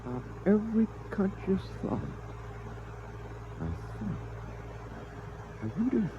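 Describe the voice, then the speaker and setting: High-voiced earnest professor, very expressive. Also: male voice, full character voice. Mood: subdued, brooding. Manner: intense, deliberate, dramatic. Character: earnest, grave male professor standing up to invasion. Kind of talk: 1950s radio drama acting. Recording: muffled, hissy 1950s radio broadcast.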